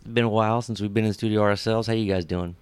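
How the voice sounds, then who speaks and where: A man's voice: on the low side, steady tone, rapid-fire, darkish tone. A man hosting a podcast, chatting casually and enthusiastically with slangy speech. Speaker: podcast host.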